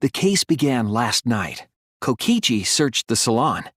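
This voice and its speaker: Teenage boy detective, earnest, thoughtful, husky-edged voice, lively intonation, video game dub. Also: medium pitch, dry recording, studio quality, exaggerated voice. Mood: reasoning, earnest. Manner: earnest, deliberate reasoning. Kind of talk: English video game dub, dramatic deduction dialogue.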